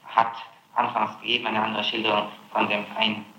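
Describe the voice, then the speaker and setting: A man questioning a suspect as public prosecutor, probing and halting, often tacking on tag questions. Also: fairly low pitch, monotone. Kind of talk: interrogation. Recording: noisy echoey archival interrogation tape.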